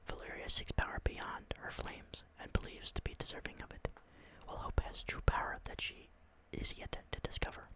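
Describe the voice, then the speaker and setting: A tired young man doing whispered ASMR, reading game lore close to the mic with rambling, excitable, apologetic asides. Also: poor audio. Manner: soft unvoiced whisper throughout. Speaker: ASMR creator. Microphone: close microphone.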